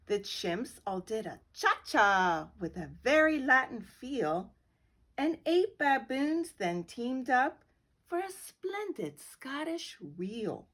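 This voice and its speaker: A middle-aged woman reads a picture book to children. Her voice is high and lively in pitch, and she reads slowly. There is a soft breathiness to it. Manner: slow, expressive storytelling. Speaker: guest reader.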